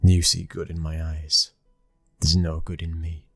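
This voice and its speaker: A British man acting a cold, dominant young mafia heir and protective new husband in an ASMR roleplay, speaking in a low, husky hush, slow and commanding. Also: monotone, no room sound, faint music behind, exaggerated voice. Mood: cold, guarded.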